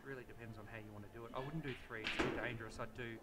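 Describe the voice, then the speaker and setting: A man's voice: mid-range voice, steady tone, racing, very noisy. An Australian man commentating a live pool match on a headset mic, chatty and explaining shots.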